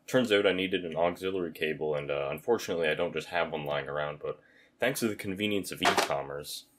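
A man's voice: low-pitched, fast, a bit bright, a little hiss or hum. A young man casually narrating a DIY electronics project, with dry, understated humor. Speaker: DIY electronics video maker.

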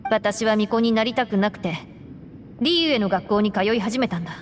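An actress playing a composed young woman deputy who speaks in a formal, deadpan way. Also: medium pitch, fairly quick, a little hiss or hum, natural voice. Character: calm, dry young woman gang deputy. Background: game music.

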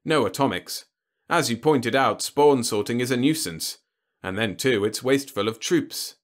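A man voicing a cool, sly diplomat in an audiobook, speaking in a measured, dryly formal way. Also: mid-range voice, natural voice. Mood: suave. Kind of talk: audiobook character dialogue.

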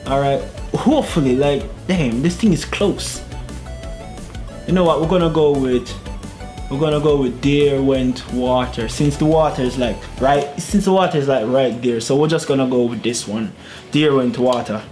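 A man's voice: medium pitch, moderate pace, darkish tone, soft background music. Young man chatting over gameplay on a headset. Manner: chatty, laughing, muttering to himself while driving. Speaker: gaming YouTuber. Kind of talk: casual gameplay commentary. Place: small home room, indoors. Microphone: gaming headset.

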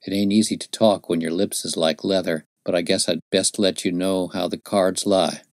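A man voicing a gaunt, dying frontiersman who speaks gently in rustic dialect, with a low voice. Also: steady tone, normal speed, no echo, studio quality, natural voice. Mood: gentle.

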